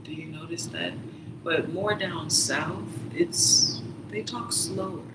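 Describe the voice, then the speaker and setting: A man's voice: a little high, slow pace. A man telling a story in casual chat, rambling with many fillers and sometimes dropping to a whisper. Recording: roomy, distant mic, noisy background.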